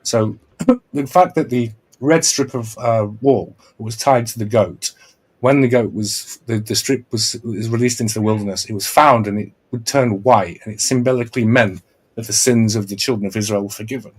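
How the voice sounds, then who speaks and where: A man's voice: mid-range voice, normal speed, breathy edge. A man hosting a casual podcast, explaining at length with restarts and repeated checks of "right?". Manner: earnest, lecturing, many restarts and repeated "right?". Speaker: podcast host.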